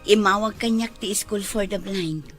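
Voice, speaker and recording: A woman's voice: mid-range voice, measured pace, faint music behind, lightly acted. A woman playing a caring middle-aged mother in a Filipino radio drama, with a Filipino accent. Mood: glad news.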